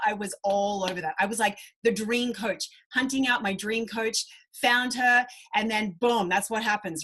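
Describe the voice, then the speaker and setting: A woman's voice: a little high, fairly bright tone. A woman in her thirties with an Australian accent, giving a bubbly, slangy talk as a fitness coach. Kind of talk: enthusiastic presentation.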